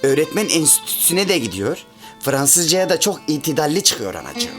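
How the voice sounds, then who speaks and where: A man's voice: on the high side, very animated, moderate pace, over music. An actor in a comic radio play voicing a chatty, sentimental half-French man with a rural Central Anatolian Turkish accent and a pedantic streak. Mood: sentimental, proud. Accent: rural Central Anatolian Turkish, Çorum area. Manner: folksy, rambling, pedantic about grammar. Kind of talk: comic radio theatre dialogue.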